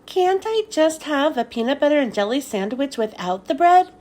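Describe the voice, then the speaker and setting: A woman voicing a timid, earnest young schoolboy in a squeaky, childlike way for a storybook. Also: sing-song, moderate pace, darkish tone. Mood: pleading.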